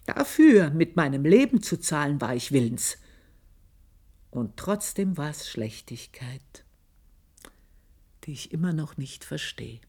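A low-voiced Austrian maid makes a brooding confession in a radio drama. Her voice is husky and breathy and sinks toward whispers. She speaks very slowly, heavy with guilt and vengeful intensity. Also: very animated, a little mellow, full character voice. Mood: fervent, brooding. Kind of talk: German radio play monologue.

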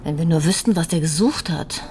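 A woman's voice: low voice, a little fast, darkish tone, a little hiss or hum, natural voice. An actress in a radio crime play playing a tired, complaining woman hotel guest with an indignant manner. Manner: grumbling, indignant.

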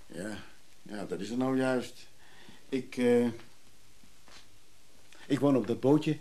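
A man's voice: mid-range voice, takes it slowly, dark tone, natural voice. An actor playing an eager, wheedling errand man in a Dutch radio drama, hesitant and coaxing. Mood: placating.

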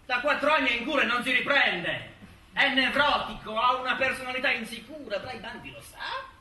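A theatrical prosecutor, scornful and accusing, in a stage monologue. His high voice declaims with long pauses and sudden swells. It is heard from far off in an echoey, noisy live recording. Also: lively intonation, sharp tone, full character voice. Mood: grave, accusing, then indignant, sneering. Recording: distant, echoey live recording with background noise.

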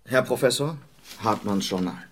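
An actor playing a grudgingly obedient male servant in a radio drama, repeating his lines like a drill and straining into a shout. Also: on the low side, moderate pace, lightly acted. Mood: formal.

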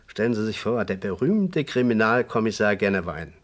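A man's voice: fairly low pitch, animated, normal speed, close to an everyday voice. An actor playing a good-natured, talkative man reminiscing in conversation in a radio crime drama. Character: chatty, good-natured male host reminiscing about an old regular.

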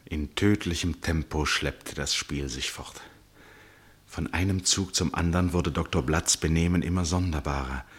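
Grave gentleman narrator, radio drama, low pitch, rough husky tone, deliberate, suspenseful delivery. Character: grave, concerned gentleman narrating a tense chess duel.

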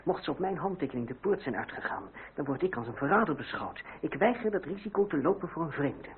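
A man's voice: a little high, lively intonation, fast, husky. An actor playing a proud, headstrong young man in a radio drama, with formal, emphatic, theatrical delivery. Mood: firm, cool. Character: proud, headstrong young nephew in revolutionary politics. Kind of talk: old radio drama acting. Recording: crackly, narrow-band old radio recording.

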